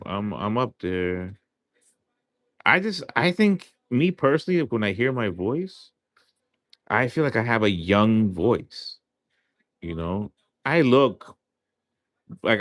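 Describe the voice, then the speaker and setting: A man's voice: fairly low pitch, dry recording. A man in his thirties hosting a casual livestream, joking and rambling in slangy talk. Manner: joking, rambling, often says "you know what I'm saying". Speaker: livestream host.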